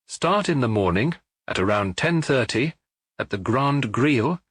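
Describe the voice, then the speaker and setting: Man doing a polite calm doctor, husky voice, dry close sound. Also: medium pitch, no room sound, pitched above the performer's own voice. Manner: measured, polite, reassuring. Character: courteous male spa doctor, calm and methodical.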